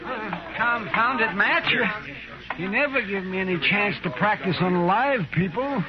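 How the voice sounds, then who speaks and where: Crotchety high-voiced frontier doctor. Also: male voice, lively intonation, a crowd in the background. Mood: grumbling, wry. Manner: grumbling, halting, drawn-out words. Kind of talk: 1950s radio western acting. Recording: muffled noisy vintage radio recording.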